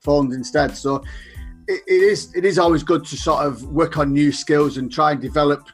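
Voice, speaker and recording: A man with a Northern English accent chats as a podcast guest. His voice is husky and a little high for a man, and he is casual and talkative, often tagging questions onto his remarks. Music sits faintly behind him. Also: normal speed. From Northern England. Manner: chatty, ends remarks with tag questions. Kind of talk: casual conversational interview.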